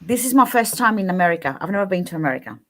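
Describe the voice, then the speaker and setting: A woman's voice: on the low side, animated, very fast, a crowd in the background. A middle-aged woman from Australia with an Australian accent, a talk show guest who speaks in a sympathetic, emphatic way. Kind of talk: casual chat.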